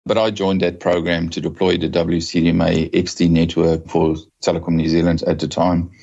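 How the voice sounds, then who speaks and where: Deep, low South African man in his fifties, measured and steady, a little lo-fi. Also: vocal fry. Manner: measured, with small restarts. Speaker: guest, telecom executive. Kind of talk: podcast interview.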